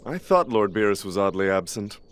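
A man's voice: on the low side, very animated, brisk, clean tone. A man playing a prim, refined male angel attendant in an anime game, polite and fussy. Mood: prim, composed.

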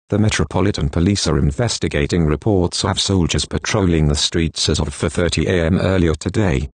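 Very deep male emergency announcer. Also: dry recording. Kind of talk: formal scripted emergency announcement.

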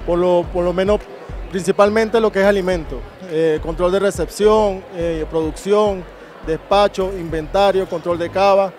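A company director answers interview questions in a fairly high voice. He pauses and hesitates often as he explains. Faint music plays behind him. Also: steady tone, a little mellow. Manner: hesitant, many fillers.

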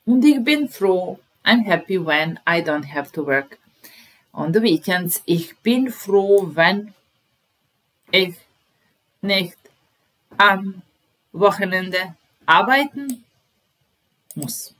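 A woman's voice: medium pitch, expressive, takes it slowly, long pauses, fairly bright tone, poor audio. A woman in her thirties teaching a language lesson, patiently repeating phrases word by word at a webcam in a small room. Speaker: German teacher. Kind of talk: slow explanatory language lesson.

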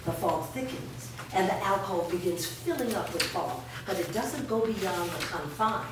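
A woman's voice: lowish voice, lively intonation, moderate pace. Female narrator for a staged play reading, reading directions, heard far off in a noisy, echoing room. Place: large bare indoor room, staged reading before a small audience. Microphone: distant camera.

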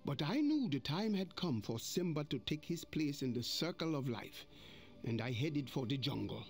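Man reading a kids storybook, slow and lively, music behind. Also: fairly high pitch. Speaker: narrator. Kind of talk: storybook narration.

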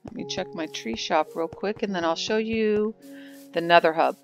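A woman's voice: lowish voice, moderate pace. Woman rambling through a game tour. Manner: rambling, thinking aloud. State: congested from sinus trouble. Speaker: game server builder. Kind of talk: casual gameplay commentary. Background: background music.

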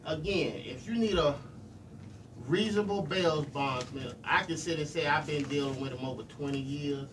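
A man's voice: a little high, normal speed. A middle-aged man hosts a casual live radio show, talking colloquially and earnestly and repeating himself. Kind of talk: casual live radio talk with shout-outs. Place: small radio studio, indoors. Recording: noisy, distant room microphone.

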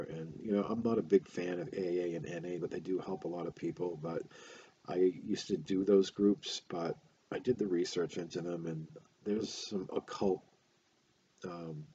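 Stuffed-up older man, deep dark voice. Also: muffled recording, slight background noise. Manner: halting, many fillers and restarts. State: stuffy nose. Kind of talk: casual talk to camera. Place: small room at home, indoors. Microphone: webcam close up.

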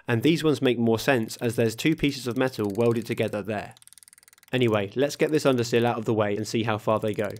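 Man narrating his car restoration. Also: mid-range voice. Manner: steady, matter-of-fact explaining of workshop steps. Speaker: host of a car restoration channel. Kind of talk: scripted voice-over narration.

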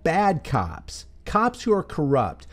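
A man reading scripted, critical commentary as a voice-over, deliberately stressing key phrases. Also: fairly high pitch, wildly swooping pitch, fairly bright tone. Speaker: commentary channel host.

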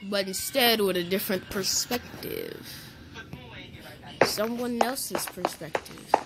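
A young woman talking casually and haltingly as a game video creator, with stammers. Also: medium pitch. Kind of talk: casual game roleplay commentary. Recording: noisy home screen recording, clicks.

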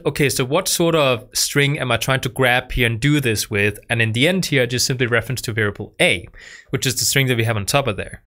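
Young male tutor in his twenties, bright tone, patient beginner-friendly explainer, close studio mic. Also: medium pitch. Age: 20s. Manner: patient, repeats himself for beginners. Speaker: programming tutor. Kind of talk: explaining code to camera. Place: dark studio, indoors. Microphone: studio mic on boom arm.

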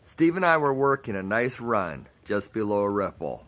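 A man narrating a fishing show in a scripted voice-over with a lively storytelling delivery. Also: lowish voice, normal speed.

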